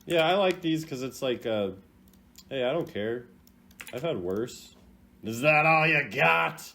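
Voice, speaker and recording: A young man giving casual, playful reaction commentary as a gaming video host, jumping between loud exclamations and low mutters. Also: lively intonation, a little slow, vocal fry. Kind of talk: casual reaction commentary ranking game voice lines.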